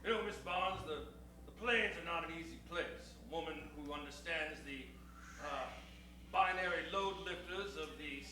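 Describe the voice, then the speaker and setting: An actor playing an evasive, nervous sheriff in an improvised old-time radio western comedy, hesitating and repeating himself. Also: male voice, a little high, normal speed, bright, forceful tone, slight background noise. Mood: awkwardly courteous. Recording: roomy, echoey live stage.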